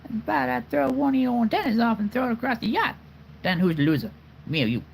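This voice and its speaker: A man voicing a small wisecracking boastful male dragon, brash and quick, quoting a cartoon film. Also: lively intonation, vocal fry, a little hiss or hum, full character voice. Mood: cocky. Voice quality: high, brash, fast-talking.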